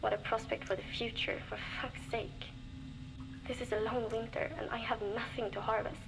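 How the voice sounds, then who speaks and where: A woman's voice: fairly high pitch, flat delivery, moderate pace, creaky. An actress playing a young, lonely, worn-down woman surviving alone in a frozen wasteland, speaking wearily and bluntly in a game's audio diary, with dry humour and frequent swearing. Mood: weary. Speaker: game character recording audio diaries. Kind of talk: scripted voice acting as a diary log. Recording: noisy cassette tape recording.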